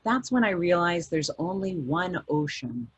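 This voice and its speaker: Calm sailor husband reading his log, voiced by a woman, low and husky. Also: unhurried. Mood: matter-of-fact. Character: man keeping a yacht log, calm.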